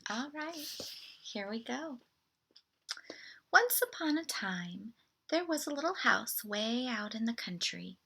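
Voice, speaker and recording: A woman reads a picture book aloud for children. Her voice is bright but husky, with a creaky edge. She is expressive and deliberate, as a read-aloud presenter addressing young listeners. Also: a little high, rough recording.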